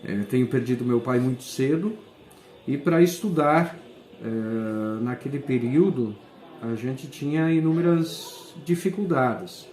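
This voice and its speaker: A man, a school principal answering interview questions and often pausing to search for words. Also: medium pitch, darkish tone. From southern Brazil. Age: 40s. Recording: echoey room.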